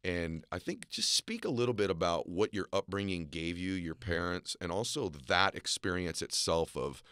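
A man's voice: sits low, big pitch swings, normal speed, pushed and bright, clear, resonant tone, dry recording. A middle-aged man hosting an interview podcast, enthusiastic and affirming toward his guest. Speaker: podcast host.

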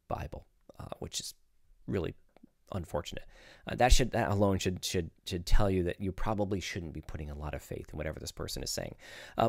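Low-voiced man teaching the Bible. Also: darkish tone, no echo, studio quality. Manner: conversational, opinionated, explanatory. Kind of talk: informal Bible teaching to camera. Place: small home studio, indoors. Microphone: close studio microphone on desk.

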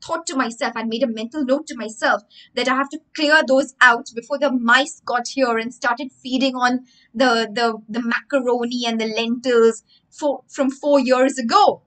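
A woman's voice: fairly high pitch, steady tone, moderate pace, fairly bright tone, lightly acted, rough recording. A woman with an Indian English accent voicing a fussy older male craft teacher who stumbles and restarts as he talks. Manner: rambling, stuttering restarts.

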